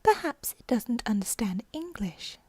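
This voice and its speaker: A polite, eager young storybook girl, acted by a woman, with a high, soft-mannered voice. She chatters on and apologises readily, in a close, dry recording. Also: sing-song, measured pace, slightly rough, no echo, exaggerated voice. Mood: wondering.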